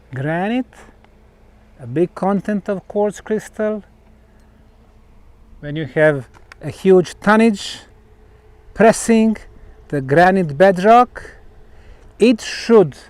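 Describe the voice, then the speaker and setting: Man, slightly high pitch, very unhurried pace, pauses between short phrases, researcher presenting. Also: darkish tone. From Bosnia. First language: Bosnian. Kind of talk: explanatory talk to camera on location.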